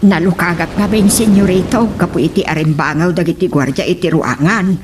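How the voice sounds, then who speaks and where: A woman's voice: on the low side, brisk, music in the background, close to an everyday voice. A woman playing a deferential, fretful household servant in a radio drama. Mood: deferential, worried. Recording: noisy radio drama recording.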